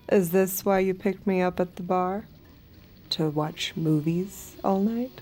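An actress playing a seductive, submissive killer who confides in the listener in a hushed, intimate manner for an audio drama. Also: female voice, lowish voice, fairly even intonation, slow, slight background noise. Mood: flirty, casual. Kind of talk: intimate first-person narration and acted dialogue in audio drama.

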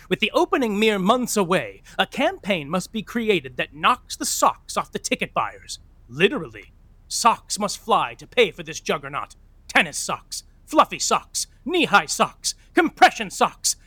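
An actor playing a flamboyant, gushing narrator of a Broadway comedy, with an arch, dramatic delivery. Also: male voice, sits high, sing-song, a little slow, bright, forceful tone, dry recording, full character voice. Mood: arch, excited. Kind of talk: theatrical comic narration.

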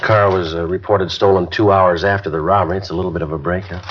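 An actor playing a terse, matter-of-fact police detective who also narrates, in a 1950s radio drama, with a clipped, deadpan delivery. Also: male voice, deep voice, fast talker, noisy background, close to an everyday voice. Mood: businesslike. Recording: dull, lo-fi 1950s radio broadcast with music behind.

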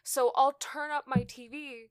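A woman's voice: high voice, fairly even intonation, a little slow, no room sound, studio quality. A woman in a casual chat as a guest, speaking with wry exasperation and casual swearing. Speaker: podcast guest and audio drama creator.

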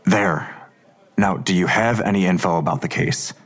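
Deep, husky, weary detective. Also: male voice, normal speed. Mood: curt, distrustful. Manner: terse, somber, guarded. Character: weary, guarded male police detective and worried father. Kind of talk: audio drama acting.

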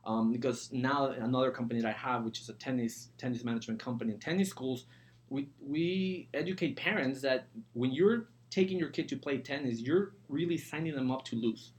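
Podcast guest: man, forties, from Mexico, mid-pitched voice, conversational entrepreneur. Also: fairly quick. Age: 40s.

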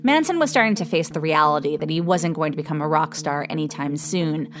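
Low-voiced woman narrating true crime. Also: brisk. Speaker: documentary narrator.

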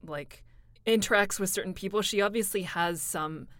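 A female voice actor talking thoughtfully about her character, often pausing to find words. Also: mid-range voice, a bit bright, no echo. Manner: thoughtful, trails off in pauses. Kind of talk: casual behind-the-scenes chat.

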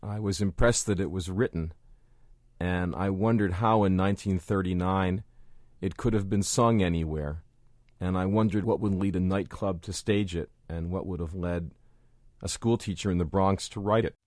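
A man's voice: deep voice, normal speed, a little mellow, no echo, clean studio sound. A man speaking reflectively as an interviewed author.

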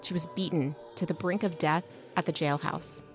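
A woman's voice: low voice, fairly even intonation, moderate pace, soft background music. A woman reporter reading a scripted radio news feature.

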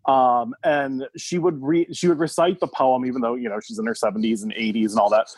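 A man's voice: mid-range voice, steady tone, fairly quick, a little mellow, slightly rough, dry recording, low-quality recording. A man from the United States, a podcast guest, telling a personal story in a casual, thoughtful, somewhat hesitant conversational way.

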